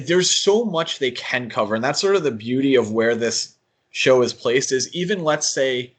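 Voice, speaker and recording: A man's voice: mid-range voice, a bit bright. A man co-hosting a podcast, thinking aloud in a casual fan discussion and often qualifying what he says. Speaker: podcast co-host.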